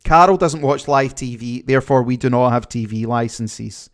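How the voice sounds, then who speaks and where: A man's voice: mid-range voice, moderate pace. A man with a Glaswegian accent hosting a livestream, chatting casually and reading viewer comments, rambling with many fillers. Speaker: livestream host.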